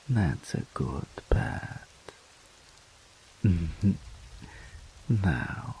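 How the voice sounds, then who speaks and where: A man voicing a dominant, possessive male incubus demon in an audio roleplay, talking in a slow, purring way that often drops to a whisper. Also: rumbling low, creaky, darkish tone, slight background noise, heavily acted, low-quality recording.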